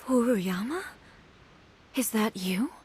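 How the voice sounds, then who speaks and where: A woman voicing a composed, stern female shogun in an anime-style fantasy game, speaking formally and with measured dignity. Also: on the high side, expressive, darkish tone, breathy edge, full character voice. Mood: questioning. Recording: game music behind.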